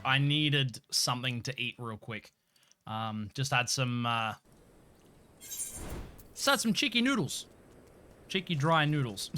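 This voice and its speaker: A man live-streaming a video game, chatting casually in a cheeky, expressive way and swearing freely. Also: mid-range voice. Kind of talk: casual gameplay stream chat with playful swearing.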